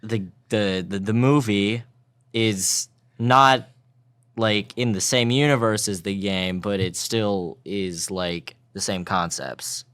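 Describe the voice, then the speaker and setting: A man's voice: on the low side, takes it slowly, fairly bright tone, clean tone, dry recording. A man in casual group banter about movies and games, argumentative and joking as he jumps in. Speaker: podcast panelist.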